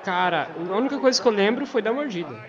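A man's voice: high voice, animated, quick pace. A man in his twenties, co-host, giving short laid-back answers in casual chat. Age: 20s. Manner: brief, laid-back replies. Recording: dull sound with loud crowd behind. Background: crowd noise from fight footage.

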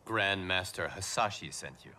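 A man's voice: low-pitched, animated, moderate pace, very noisy. A man playing a principled young warrior monk in a video game, speaking in an earnest, formal, dramatic way. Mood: earnest, concerned. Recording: loud music over the voice. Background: loud cinematic game music.

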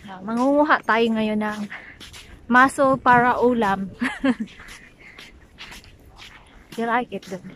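A woman's voice: a little high, takes it slowly, long pauses, a bit bright, a little hiss or hum. A young woman from the Philippines with a Filipino accent, a Bisaya native speaker, chatting casually in a travel vlog with hesitant English, talking into a handheld selfie camera in a van and on a beach. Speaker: vlogger. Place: inside a van, then outdoors on a sandy beach.

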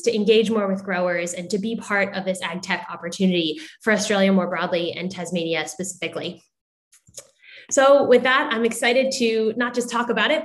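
A middle-aged American woman from the United States giving an upbeat, articulate prepared presentation as moderator on a video call. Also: medium pitch. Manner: upbeat and articulate, occasional fillers. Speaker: moderator introducing startups.

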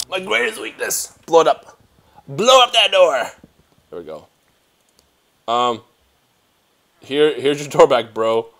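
Excitable young man narrating gameplay. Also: mid-range voice. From Germany. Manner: excitable, rambling, talks to himself while playing. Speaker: gaming youtuber. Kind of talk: casual let's play commentary. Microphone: headset.

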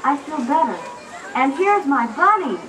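A woman's voice: high-pitched, expressive, a little slow, a crowd in the background. A woman voicing a little boy who clings to his toy bunny, childlike and plaintive, in a children's puppet show. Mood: eager. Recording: distant, noisy puppet theater recording.

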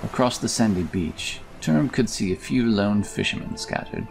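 Male fantasy narrator: very low, creaky voice, expressive storytelling over music. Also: moderate pace, slight background noise.

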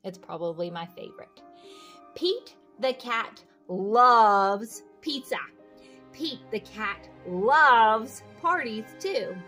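Slow, high, animated woman storyteller. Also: soft background music. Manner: sing-song refrains, questions put to young listeners. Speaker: read-aloud host. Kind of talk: expressive children's picture-book read-aloud with asides.

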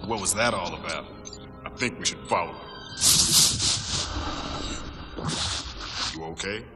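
A man playing a gruff, hot-tempered army major, blunt and streetwise, in an action video game. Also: sits low, brisk, long reverb tail, over music, natural voice. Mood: concerned, gruff.

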